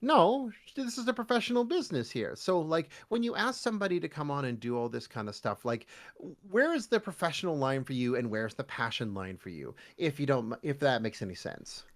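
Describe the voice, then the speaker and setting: A man's voice: mid-range voice, sing-song, fairly quick. A man chatting casually as a podcast guest and voice actor, jokey and rambling with stop-start phrasing. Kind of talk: casual interview chat.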